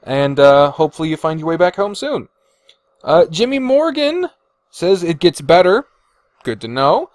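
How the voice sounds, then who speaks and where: A man's voice: a little high, very animated, moderate pace, dry recording, studio quality. A man hosting a podcast, chatting informally and swearing freely as he reads and reacts to comments. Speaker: podcast host. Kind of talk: casual chat, reading and reacting to viewer comments.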